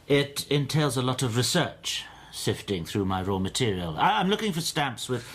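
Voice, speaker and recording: An actor playing a meek, anxious stamp dealer in a radio drama, halting and defensive as he speaks. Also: mid-range voice, sing-song, a bit bright, breathy edge. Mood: guarded, hesitant. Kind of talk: BBC radio drama dialogue.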